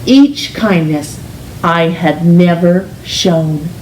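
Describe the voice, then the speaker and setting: A woman reading a children's picture book aloud in an expressive, gentle, deliberate storytelling manner, with drawn-out emphasis on words. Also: lowish voice. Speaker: storytime reader, teacher. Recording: roomy, distant mic with faint hiss.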